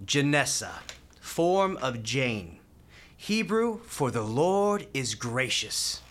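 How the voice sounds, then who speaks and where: A cheerful volunteer in a radio play. He is folksy and talkative, with a mid-pitched voice that swings widely and playfully. He sounds kindhearted and eager to chat. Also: very animated, takes it slowly, a bit bright. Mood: warm, chatty. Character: cheerful, chatty, kindhearted male volunteer baby rocker in a hospital.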